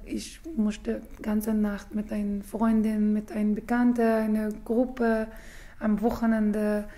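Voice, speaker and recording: A woman's voice: mid-range voice, completely flat delivery, warm tone. Woman speaking hesitant, German. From Afghanistan. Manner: halting non-native German with frequent hesitations. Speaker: journalist interviewee. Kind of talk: documentary interview.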